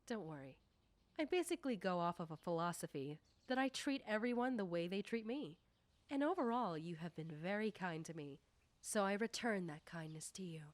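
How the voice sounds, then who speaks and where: A woman playing a confident, nosy popular high school girl in an ASMR roleplay, talking coyly and teasingly to the listener. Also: animated, normal speed, no room sound, natural voice. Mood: reassuring, teasing.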